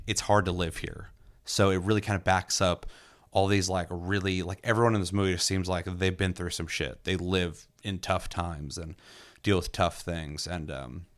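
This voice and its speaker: A young man with a low-pitched voice talks casually about a film he enjoyed. He is loose and enthusiastic, full of ums and restarts, and now and then throws in a curse word. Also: fairly bright tone. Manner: loose and enthusiastic, many fillers, occasional swearing. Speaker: movie reviewer, podcast host. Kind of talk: casual film review chat.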